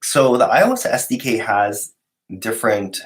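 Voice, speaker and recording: A man with a cold hosting a casual live-stream Q&A, reading out viewer questions and answering in a relaxed, rambling way with lots of fillers and restarts. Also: mid-range voice, normal speed. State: sick, voice lower than usual.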